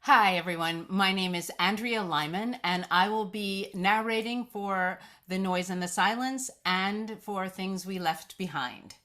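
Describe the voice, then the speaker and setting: A woman's voice: medium pitch, measured pace, hardly any pauses, a bit bright. A woman presenting and narrating a script read.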